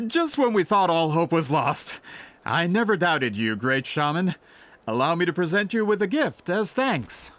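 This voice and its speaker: A man playing a polite, flattering, persistent merchant in a video game, courteous and eager to please. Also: fairly high pitch, takes it slowly, heavily acted. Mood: pleased, flattering.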